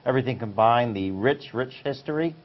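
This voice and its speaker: Relaxed male host, muffled sound. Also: medium pitch, a little fast. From Rhode Island. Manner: relaxed, agreeable, prompting. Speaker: talk show host. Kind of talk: casual interview talk.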